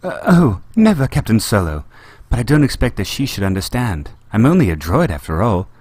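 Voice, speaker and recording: A man's voice: fairly low pitch, big pitch swings, brisk, darkish tone, breathy edge, no echo, voice pushed up. A man voicing a nervous male protocol droid who talks in a prim, formal way. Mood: fretful.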